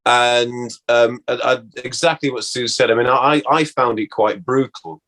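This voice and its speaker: British male, middle-aged, medium pitch, halting conversational delivery, repeats words for emphasis. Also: steady tone, fairly bright tone. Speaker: guest former actor. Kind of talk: casual interview chat.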